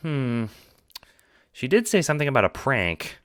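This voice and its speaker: A man's voice: medium pitch, big pitch swings, normal speed, a bit bright, no room sound, clean studio sound, voice pushed up. A man voicing a friendly, naive young teenage boy with an earnest, chipper manner.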